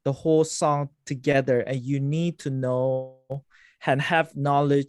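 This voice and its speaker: A man's voice: mid-range voice, fairly even intonation, unhurried, darkish tone, clear, resonant tone, no room sound, clean studio sound. A man hosting an online music production masterclass, explaining at length with frequent "like" and restarts. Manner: explains with many likes and restarts. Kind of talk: teaching over a video call. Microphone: video call.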